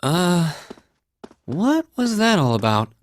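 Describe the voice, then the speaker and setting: A man's voice: high voice, very animated, slow pace, slight background noise, full character voice. An actor playing an earnest, easygoing young male academy student in a video game, polite and friendly. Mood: puzzled, earnest. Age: young adult. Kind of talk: English anime-style game voice acting.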